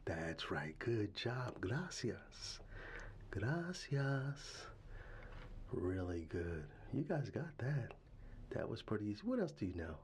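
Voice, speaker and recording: Deep, slow, half-whispering man. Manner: soft, half-whispered and tender, with halting restarts. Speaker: ASMR roleplayer as a father. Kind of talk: ASMR roleplay. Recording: steady ambient background noise.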